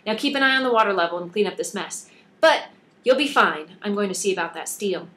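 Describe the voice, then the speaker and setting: Woman voicing a brisk, no-nonsense female ship mechanic. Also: medium pitch, animated, a bit bright, close to an everyday voice. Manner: brisk, instructing.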